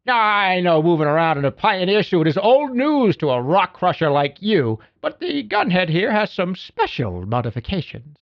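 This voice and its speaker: A man's voice: high voice, very animated, moderate pace, few pauses, lo-fi sound. A man playing a cocky, folksy video game mechanic who briefs a pilot with slangy wisecracks. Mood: upbeat, wisecracking. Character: cocky, folksy spaceship mechanic who babies his mech suit. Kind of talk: video game character voicelines.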